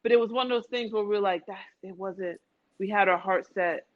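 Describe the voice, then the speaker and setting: A woman's voice: medium pitch, fairly quick, slight background noise. A woman in a casual, conversational travel chat with a friend, often repeating phrases for emphasis. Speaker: podcast speaker. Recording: dull lo-fi sound.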